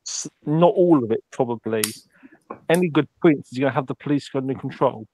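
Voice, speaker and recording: A man's voice: medium pitch, brisk, warm tone, low-quality recording. A man speaking in character during a tabletop roleplay, hesitant, with many ums and restarts.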